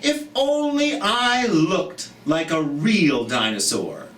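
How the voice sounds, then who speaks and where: Gentle, wistful knitting dinosaur, as a storyteller plays him. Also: mid-range voice, animated, slow. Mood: wistful, earnest. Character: gentle, wistful young male dinosaur who knits. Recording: roomy, distant mic, background noise.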